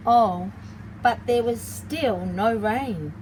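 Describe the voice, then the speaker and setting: Storytime educator, female. Unhurried, lowish pitch, stretched key words, noticeable background rumble. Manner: simple explanatory asides, drawn-out emphasis on key words. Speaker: children's story time host and early childhood educator. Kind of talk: read-aloud picture book storytelling for young children.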